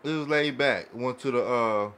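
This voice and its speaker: A man with a medium-pitched voice chats on a podcast. He speaks informally in short phrases, picked up by a studio microphone. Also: normal speed, a bit bright, clear, resonant tone. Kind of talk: casual chat. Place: small indoor podcast studio.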